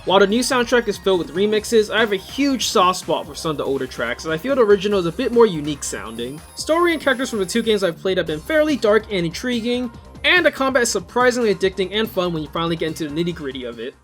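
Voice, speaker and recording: A man's voice: sits high, sing-song, fast talker, fairly bright tone. A man presenting a scripted video review in an informal, fan-like way. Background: game soundtrack music.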